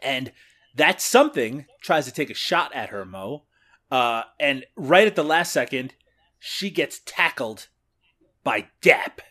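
Canadian male podcast co-host, animated delivery, laughs easily, casual recap. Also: medium pitch, slow pace. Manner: laughs often, recaps scenes. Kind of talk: casual movie review chat.

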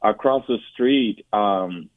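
A man from Puerto Rico in his forties, a guest telling his personal story in an interview, talking haltingly with frequent restarts and fillers. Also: on the low side, no echo. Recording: dry, tinny phone-like line.

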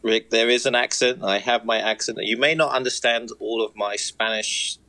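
A man's voice: medium pitch, steady tone, moderate pace, sharp tone, poor audio. A man who is a youth soccer coaching director, chatting on a podcast in an upbeat, self-mocking way. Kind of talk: casual sports chat.

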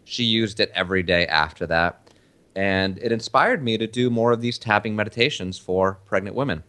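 A man's voice: fairly low pitch, moderate pace, pushed and bright. A man from Argentina hosting a podcast, easygoing and teasing, telling stories at length in casual chat. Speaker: podcast host.

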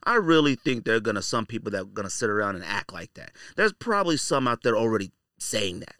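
A man's voice: fairly low pitch, big pitch swings, fast talker, bright, forceful tone, no room sound, studio quality. A man hosting a casual, off-the-cuff podcast monologue, talking in a slangy, blunt, conversational way. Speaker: sneaker podcast host.

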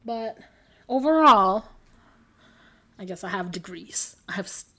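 A woman's voice: medium pitch, expressive, measured pace, a bit bright. Chatty rambling young woman, giggly. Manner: rambling, giggly, self-correcting. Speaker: podcast co-host. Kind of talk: casual chat.

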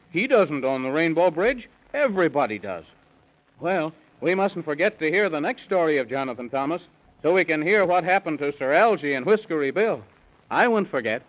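A man's voice: on the high side, a bit bright. A man narrating a 1930s children's radio serial in a folksy way, speaking to young listeners. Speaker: storyteller-announcer. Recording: muffled 1930s radio recording.

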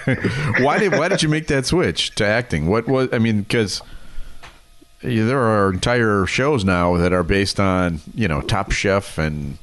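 A man's voice: fairly low pitch, measured pace, a bit bright. A man co-hosting an interview, asking questions and bantering casually in a wry, jokey manner. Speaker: podcast co-host, interviewer.

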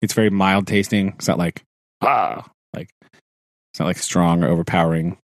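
A man co-hosting a podcast in casual chat, rambling with many fillers, laughing often and clearing his throat now and then. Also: sits low, steady tone, moderate pace, no room sound, studio quality. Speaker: podcast co-host, teacher.

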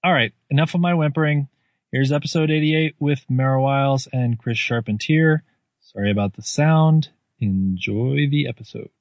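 A man's voice: medium pitch, normal speed, dry recording. Earnest man, dry close sound. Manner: earnest, self-deprecating. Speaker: podcast host. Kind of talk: scripted spoken intro. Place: garage, under a comforter. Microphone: cheap home microphone.

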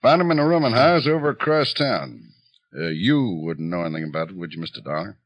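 An actor playing a shrewd, folksy small-town police chief in a 1950s radio drama, with drawling phrases. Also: male voice, low-pitched, big pitch swings, fairly quick, highs cut off. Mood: wry, suspicious.

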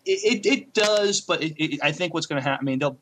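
A man co-hosting a podcast in casual chat, speaking haltingly and often repeating words as he thinks. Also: on the high side, animated, fairly bright tone. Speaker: podcast co-host. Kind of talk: casual review chat about TV shows.

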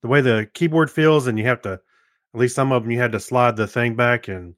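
A man's voice: medium pitch, brisk, a bit bright, dry recording, clean studio sound. An American man co-hosting a podcast in casual, easygoing, joking conversation. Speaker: podcast co-host. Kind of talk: casual chat.

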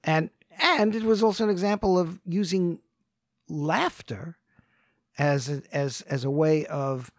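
Podcast co-host, male, somewhat high-pitched, unhurried storyteller, dry booth sound. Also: no echo. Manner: storytelling, thoughtful, many restarts. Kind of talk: casual podcast conversation.

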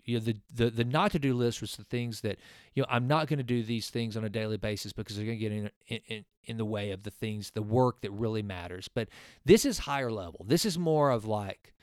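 Forties guy rambling on his own podcast, earnest, smooth clear voice, super dry close mic. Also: fairly low pitch, fairly quick, no room sound. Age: 40s. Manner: earnest, rambling, frequent restarts and fillers. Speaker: podcast host. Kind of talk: casual solo podcast talk with a sponsor read.

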